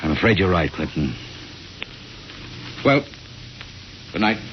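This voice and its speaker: A sober army major in a vintage radio drama. His voice is very deep, his manner concerned and matter-of-fact. The recording is muffled with slight noise. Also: big pitch swings, slow, exaggerated voice. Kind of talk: 1950s radio drama acting.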